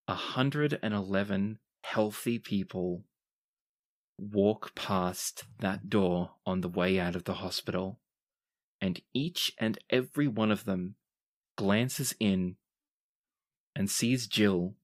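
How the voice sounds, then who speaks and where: A game master narrating a tabletop roleplaying game in short, dramatic, unhurried storytelling phrases. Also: sits low.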